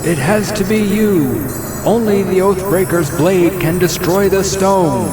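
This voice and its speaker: A man's voice: high voice, a little slow, pitched above the performer's own voice. A man voicing an urgent male mage calling out in a fantasy audio drama. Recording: loud music behind the voice.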